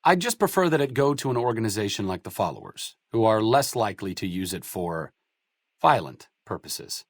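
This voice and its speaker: An actor playing an idealistic, bookish wasteland doctor in a video game, articulate and wry in scripted dialogue. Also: male voice, lowish voice, very animated, normal speed, no room sound, clean studio sound. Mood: earnest, wry. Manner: articulate, wry, erudite wording.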